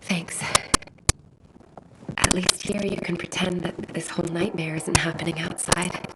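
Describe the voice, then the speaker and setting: An actress playing a shy, dreamy, bookish young woman who speaks softly and wistfully, often half-whispering. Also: fairly low pitch, flat delivery, measured pace, very noisy, lightly acted.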